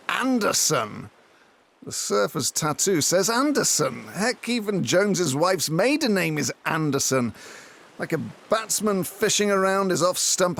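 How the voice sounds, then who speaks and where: A man's voice: sits high, vocal fry, fairly bright tone, exaggerated voice. A man playing a brooding, self-important detective in a comic audio drama, speaking with earnest melodrama. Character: grown Irish police detective, brooding, self-important, aggrieved.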